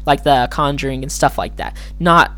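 A podcast host with a slightly hoarse voice, talking casually and alone in a rambling, hesitant way that keeps correcting itself. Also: mid-range voice, steady tone, normal speed, fairly bright tone, faint music behind. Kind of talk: casual solo commentary.

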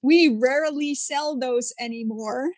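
A young woman speaks English in loose chat, pausing and reaching for words with plenty of fillers. Her voice is a bit high. The sound is dry and close. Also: dry recording. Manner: searching for words, hesitant with many fillers. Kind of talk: casual chat.

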